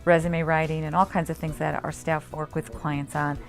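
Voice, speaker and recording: A woman on staff at a health center, explaining its services in a measured way with frequent pauses, over soft music. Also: low-pitched, little pitch movement. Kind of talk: promotional interview.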